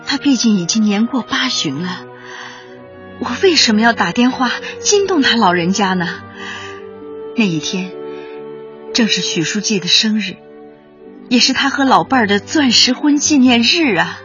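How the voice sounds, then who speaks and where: An actress playing an earnest young community worker, narrating a radio drama in a solemn, heartfelt, literary way. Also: female voice, on the high side, expressive, normal speed, pauses often, natural voice. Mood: moved, regretful. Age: young adult. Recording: dull band-limited sound under loud music.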